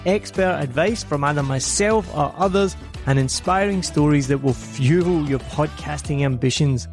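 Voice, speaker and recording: A man's voice: on the high side, normal speed, music in the background. A Scottish man hosting his podcast from a home studio, delivering an upbeat, earnest scripted monologue. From Scotland. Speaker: podcast host.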